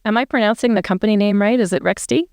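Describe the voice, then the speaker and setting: A woman's voice: medium pitch, fast talker, darkish tone, no room sound, clean studio sound. A woman hosting a podcast, conversational and friendly with some fillers. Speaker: podcast host.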